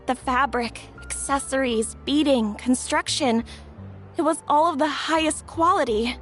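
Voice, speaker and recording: A woman voicing a gentle, hesitant young customer in a video game. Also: high voice, a little slow, faint music behind.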